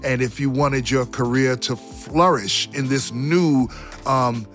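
Middle aged or older guy looking back in an interview, normal pitch, music behind. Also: fairly even intonation, slow pace, a bit bright. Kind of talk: reflective interview talk.

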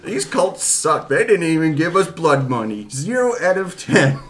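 A man's voice: on the high side, sing-song, moderate pace, a bit bright. A man playing a tabletop game, joking around playfully with the group. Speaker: player. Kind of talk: casual chat.

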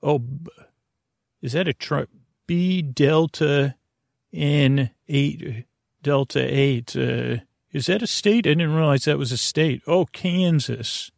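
A man hosting a bedtime podcast in a meandering, halting, absent-minded monologue, often interrupting himself, with a gravelly voice. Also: fairly high pitch, no echo, clean studio sound. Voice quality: gravelly, creaky. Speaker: podcast host.

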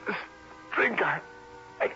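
A man's voice: slow, bright, forceful tone. An actor playing a drugged, panicking man who stammers in broken gasps in an old radio drama. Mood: dazed panic. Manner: gasping, stammering, slurred broken speech. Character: drugged man collapsing in his chair, panicking. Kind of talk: 1960s radio drama acting. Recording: dull old radio recording with music.

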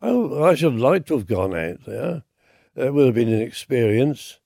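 Elderly man in his nineties, hoarse, dark mellow voice, dry close sound, halting reminiscence. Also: mid-range voice, very animated, dry recording. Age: 90s. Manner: halting, unhurried, restarts often. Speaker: war veteran interviewee. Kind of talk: reminiscing interview answers.

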